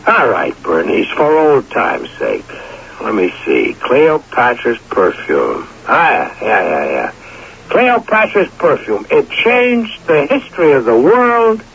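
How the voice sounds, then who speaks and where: Middle-aged former golden boy, wry and gravelly, lively pitch, dull noisy radio-drama recording. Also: takes it slowly, full character voice. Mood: wry, relaxed.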